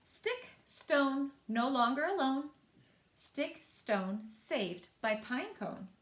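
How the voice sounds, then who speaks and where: Female children's storyteller, slow and very lively, in a roomy, echoing space. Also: sits high. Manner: animated, with asides to young listeners. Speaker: library storyteller. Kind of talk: expressive children's picture-book read-aloud.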